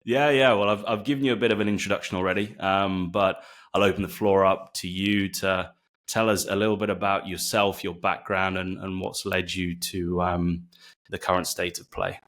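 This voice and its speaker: A man's voice: sits low, fairly even intonation, normal speed, fairly bright tone. A man hosting a podcast and interviewing his guest in a casual, conversational way. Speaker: podcast host.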